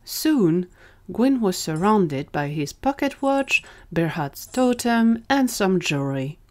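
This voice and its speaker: A woman's voice: medium pitch, sing-song, takes it slowly. A woman narrating a scripted audio drama as its storyteller.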